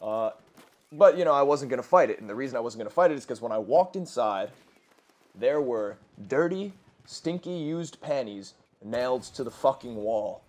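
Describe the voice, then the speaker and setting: A man's voice: mid-range voice, animated, moderate pace, a little mellow. A man in his twenties telling crude, rambling stories with lots of swearing and self-corrections over gameplay. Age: 20s. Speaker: gaming commentator. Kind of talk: casual storytelling over gameplay.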